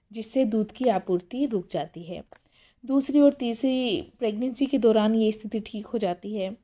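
A woman hosting a pregnancy health channel, explaining step by step and calling her viewers friends, her voice a little hoarse from a cough. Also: a little high, dark tone. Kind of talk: informational talk.

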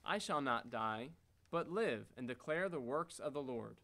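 A man of middle pitch takes his part in a scripted scripture reading on a church stage. He declaims his lines in a measured way into a stand microphone. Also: expressive, sharp tone. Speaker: pastor, reader in readers theater. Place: church stage before a congregation.

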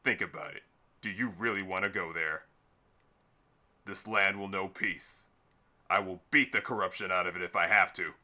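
A man's voice: low voice, steady tone, normal speed, long pauses, pushed and bright. A man playing a tough, intimidating fantasy enforcer, blunt and threatening, for an animation audition. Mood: menacing. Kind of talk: character voice acting audition.

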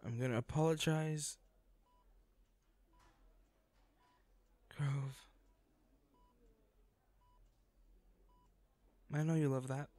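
A man's voice: mid-range voice, a little mellow, no room sound, close to an everyday voice. Casting: male actor for a remorseful, devoted boyfriend in an audio roleplay, mid-range voice, tender and pleading, recorded dry. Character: guilt-ridden, devoted boyfriend at a hospital bedside. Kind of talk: intimate audio roleplay acting.

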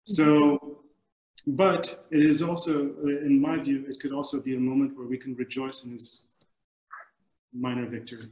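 A man in his forties speaks in a thoughtful, halting way as he searches for words. His voice has an average pitch and comes over a video call from an echoey room at home. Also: flat delivery, darkish tone. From Middle East, born in Kuwait. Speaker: film director, guest. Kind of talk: thoughtful answers in a video panel discussion. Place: indoors, book-lined room at home. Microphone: computer mic on a video call.

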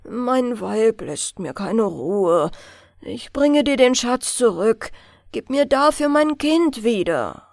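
Storybook woodcutter played by a woman: poor, henpecked man, hesitant and slow, with swooping intonation. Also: fairly high pitch, big pitch swings, gravelly, a little mellow, no echo, clean studio sound, heavily acted. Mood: sheepish, pleading. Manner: hesitant, stammering.

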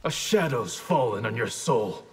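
A stern masked ninja master in a fighting game. He speaks solemnly and formally, with a slightly husky grain and a hall-like reverb around the voice. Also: mid-range voice, expressive, unhurried. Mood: grim, defiant. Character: stern, honor-bound masked ninja clan leader. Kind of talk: dramatic fighting game dialogue.

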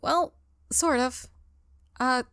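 A woman's voice: high-pitched, very slow, a bit bright, dry recording, clean studio sound, voice pushed up, heavily acted. A woman voicing a shy, awkward, friendly college ghost in a visual novel, nervous, hesitant and stammering. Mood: nervous, eager.

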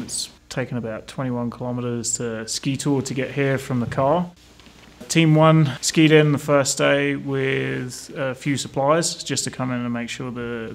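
A man, a cave diver, calmly explaining an expedition in a documentary interview. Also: medium pitch, unhurried, vocal fry, slight background noise.

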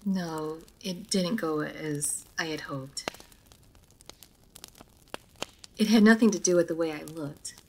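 Woman acting a low-voiced, reserved aristocratic vampire lady. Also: slight background noise, rough recording. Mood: reserved, resigned. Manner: formal, measured, guarded. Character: reserved aristocratic female vampire, formal and melancholy. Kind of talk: roleplay audio drama addressed to the listener.

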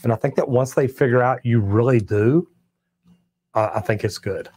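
A man's voice: medium pitch, moderate pace, a little mellow, slightly rough. An older man with a Texan accent, a master plumber hosting a casual livestream and answering chat questions in a folksy, plainspoken way. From Texas. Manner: folksy, plainspoken, drawling storyteller. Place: studio set with shelves of tools and merch. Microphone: clip-on lapel mic.

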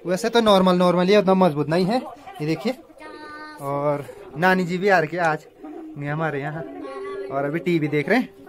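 A young man in his twenties talking casually to his viewers as a vlogger, filming himself with a phone held at arm's length outdoors in a mountain village. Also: on the high side. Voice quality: chatty, keeps saying "bhai". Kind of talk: casual vlog narration.

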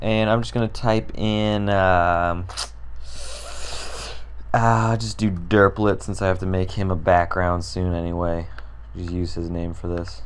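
A hoarse young man with a sore throat casually talking through a screen-recorded design tutorial, rambling and thinking aloud with many fillers. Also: low voice, flat delivery, unhurried. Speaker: tutorial creator.

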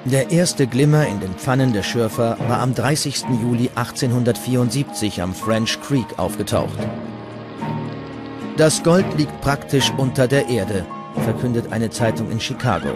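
A man narrates a documentary from a prepared script. His voice sits at a medium pitch, and music plays clearly behind him. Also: moderate pace.

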